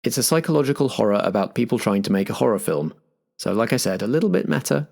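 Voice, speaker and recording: A man's voice: mid-range voice, animated, fast talker, creaky, darkish tone. A man hosting a roleplay podcast and narrating as game master. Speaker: game master and show host.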